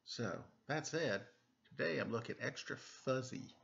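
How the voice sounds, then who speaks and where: A man's voice: medium pitch, unhurried, creaky, a bit bright. A man in his forties hosting a children's storytime at home, reading a picture book with playful, chatty asides. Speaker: storytime host and reader. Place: room at home. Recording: dull lo-fi webcam sound.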